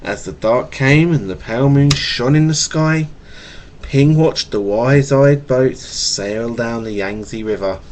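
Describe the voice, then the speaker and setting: A man with a slight stutter reading a picture book to his young child in a playful, animated way, with casual asides, recorded on a home webcam. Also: mid-range voice, slow pace, a little hiss or hum. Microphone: webcam mic.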